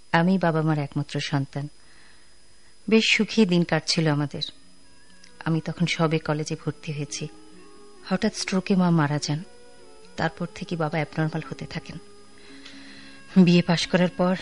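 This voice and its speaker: Actress as low-voiced, devoted young daughter. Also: female voice, darkish tone, lightly acted. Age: young adult. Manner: earnest, caring, firm. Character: devoted, dutiful, firm young working daughter. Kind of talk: radio drama acting.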